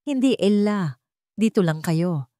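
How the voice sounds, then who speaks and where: A woman's voice: mid-range voice, animated, measured pace, dark tone, dry recording, studio quality, close to an everyday voice. A woman voicing an earnest, nervous young male job applicant who speaks politely and pleadingly in a dramatic story.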